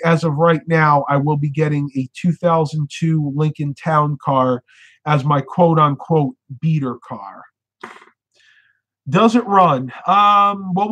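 A man's voice: fairly high pitch, fairly bright tone. A young American man chatting casually as the host of a livestream. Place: small furnished bedroom, indoors.

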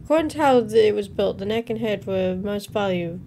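A woman's voice: medium pitch, fairly quick, vocal fry, dark tone. A young adult woman giving casual reaction commentary, reading captions aloud and interjecting informally between them. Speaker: reaction video host. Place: small room at home, indoors. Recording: noticeable background noise.

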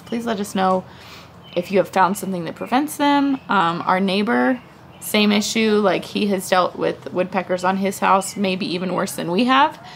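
A woman's voice: medium pitch, gravelly, a little hiss or hum. A young woman chatting to a handheld camera on her front porch as a family vlogger, rambling and thinking aloud. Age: young adult. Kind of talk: casual vlog talk to camera. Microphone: handheld camera close up.

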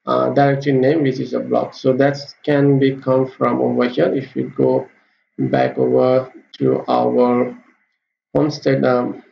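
A man giving a screen-recorded coding tutorial, talking haltingly with many fillers and restarts. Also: mid-range voice, little pitch movement, vocal fry. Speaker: programming tutor. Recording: roomy, dull lo-fi with faint hiss.

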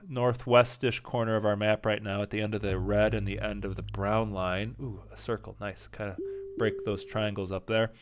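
A man casually commenting as he plays a strategy game, thinking aloud and second-guessing his choices, with a slight rasp and an occasional cough. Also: fairly low pitch. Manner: thinking aloud, indecisive, self-talking. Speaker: gaming channel host. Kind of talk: casual let's-play commentary.

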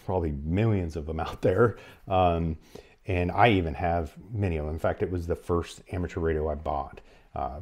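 Low, dark-voiced North American man. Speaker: presenter of an emergency radio advice channel. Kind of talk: explanatory advice talk to camera. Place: small furnished room at home, indoors.

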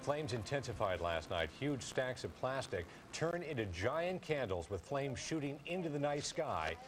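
A man's voice: medium pitch, sing-song, moderate pace, crowd noise. A male news anchor reading the lead stories with polished studio delivery. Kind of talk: studio newscast.

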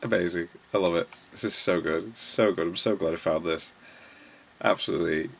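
A man's voice: low-pitched, a little fast, vocal fry, fairly bright tone, slight background noise. A young man giving casual reaction commentary as host of a video from a bedroom.

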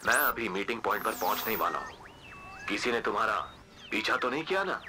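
A man voicing a shady, plotting crook in an animated comedy. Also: lowish voice, brisk, music in the background, lightly acted. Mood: wary.

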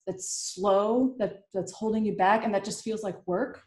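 A woman's voice: mid-range voice, moderate pace. A young woman, a digital illustrator, chatting casually and thoughtfully about her art workflow over a video call. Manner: relaxed, thoughtful, lots of "like" and "you know". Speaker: digital illustrator on an online panel. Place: home studio, indoors. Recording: echoey room on a video call.

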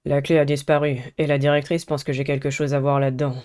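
Woman as weary high-school boy, earnest, lowered smooth voice, little pitch movement. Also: little pitch movement, quick pace, a little mellow, lightly acted. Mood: stressed. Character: stressed, earnest teenage boy student delegate.

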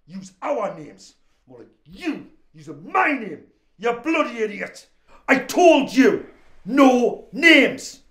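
Male actor plays a volatile crook who snaps orders; bright, swinging delivery. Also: high-pitched, huge pitch swings, a little slow, roomy sound, heavily acted. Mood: angry. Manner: hot-tempered, barking orders, swinging to hushed menace. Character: volatile young male criminal on the run.

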